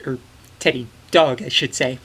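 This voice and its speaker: A man's voice: medium pitch, fairly even intonation, moderate pace, fairly bright tone, husky. A young man narrating a comedic film review from a small bedroom, with jokey, enthusiastic asides.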